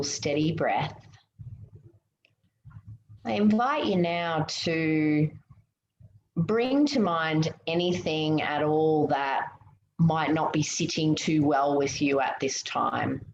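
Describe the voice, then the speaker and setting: Slow, low-voiced woman guiding meditation. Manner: soothing, gentle, unhurried instruction with pauses. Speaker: meditation guide. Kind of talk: guided meditation. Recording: muffled, roomy, noticeable background hiss.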